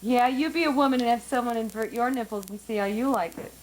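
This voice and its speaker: A woman's voice: on the high side, fast, lightly acted. An actress playing a guarded woman in her thirties with a dry, sardonic way of talking. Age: 30s.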